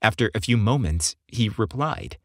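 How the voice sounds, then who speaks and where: A man's voice: on the low side, lively intonation, moderate pace, no room sound, clean studio sound. A man narrating a scripted story as an audiobook reader.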